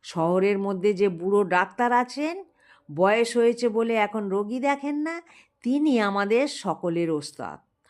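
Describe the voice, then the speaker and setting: A broke, aggrieved town doctor voiced by a woman storyteller from a folk tale. He talks slowly and colloquially to his colleagues, with a plaintive manner. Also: mid-range voice, expressive, darkish tone, lightly acted. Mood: resigned, advising.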